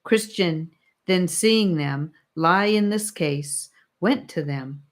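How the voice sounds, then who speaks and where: A woman narrating an old religious allegory as an audiobook, with measured, solemn storytelling. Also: on the low side. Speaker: audiobook reader.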